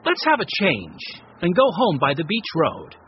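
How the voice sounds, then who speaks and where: A man's voice: fairly high pitch, wildly swooping pitch, normal speed, lo-fi sound, a little hiss or hum. A man voicing a good-natured teenage boy, the eldest brother, in a storybook reading.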